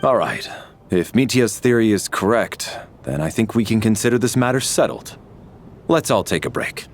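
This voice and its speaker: An actor playing a composed, principled military man with a kind streak, measured and formal, in a game dub. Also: on the low side, expressive, a little hiss or hum. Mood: calm, explanatory. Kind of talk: English game dub voice acting. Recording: music under the voice.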